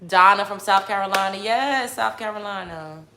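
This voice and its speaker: A woman with a New York accent hosts a loose livestream, calling out viewers' hometowns as the comments roll in. Her voice is bright and forceful, and it bounces around an echoey room with a faint hiss of noise behind it. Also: medium pitch, moderate pace. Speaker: livestream host. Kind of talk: casual livestream chat.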